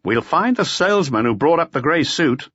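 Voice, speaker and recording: A man's voice: fairly high pitch, big pitch swings, normal speed, fairly bright tone, breathy edge, no room sound, highs cut off, pitched above the performer's own voice, exaggerated voice. A man voicing a stern, persistent police detective in an audiobook, questioning bluntly. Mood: insistent, blunt. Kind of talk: audiobook character voice.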